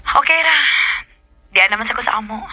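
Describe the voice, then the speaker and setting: A woman's voice: on the high side, fairly quick, sharp tone, dry recording, poor audio. A woman acting a hurt, reproachful young girlfriend in a Filipino radio drama, with a Bisaya accent. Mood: sulky.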